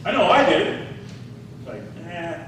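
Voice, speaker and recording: A man's voice: fairly high pitch, wildly swooping pitch, a little slow, background noise. Man in his sixties preaching in an echoey hall. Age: 60s. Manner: conversational preaching, asks the congregation questions. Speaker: pastor. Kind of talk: sermon. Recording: echoey hall, distant mic.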